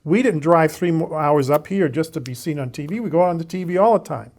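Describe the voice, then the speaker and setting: High voiced guy in his 70s, pushy and emphatic, cuts in to argue. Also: a little mellow. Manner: emphatic, argumentative, quick to interject. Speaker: guest expert. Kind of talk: studio discussion.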